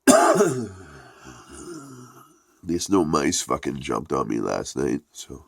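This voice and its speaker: A man's voice: rumbling low, huge pitch swings, drawn-out words, creaky, breathy edge, no room sound, clean studio sound. A man with a stuffy, congested voice giving a profane, rambling, laid-back casual review. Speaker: product reviewer.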